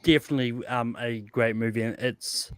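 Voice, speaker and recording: A man's voice: medium pitch, steady tone, moderate pace, clear, resonant tone, no room sound, studio quality. A man on a panel casually giving his opinion on films. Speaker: film panelist. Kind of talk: casual chat.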